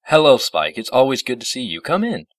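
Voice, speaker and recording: A man's voice: low-pitched, lively intonation, fairly quick, pushed and bright, dry recording, clean studio sound, recorded from a distance. A man voicing a bitter, protective male chimera creature in a storybook reading, curt and spiteful. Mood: welcoming.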